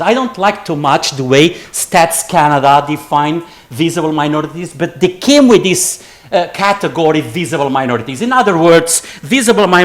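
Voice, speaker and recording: A man's voice: high-pitched, fairly bright tone. Jet-lagged man chatting through a lecture. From Azores, Portugal. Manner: chatty, digressive, joking asides to the audience. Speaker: conference speaker, geographer. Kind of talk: academic conference talk. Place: lecture room with an audience.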